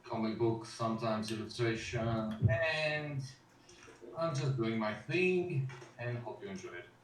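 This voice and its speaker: A man's voice: mid-range voice, lively intonation, measured pace. A man from Romania greets the panel in a casual, cheerful way. He sounds far from the mic in a roomy space, with faint hiss underneath. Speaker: freelance concept artist, panel guest. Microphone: video call. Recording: distant, echoey video-call audio.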